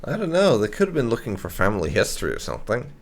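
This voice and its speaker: A man's voice: fairly low pitch, huge pitch swings, fast talker, vocal fry. A man doing roleplay-style commentary as he plays a fantasy game, musing aloud with thoughtful hums. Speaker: gamer, let's player. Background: game ambience.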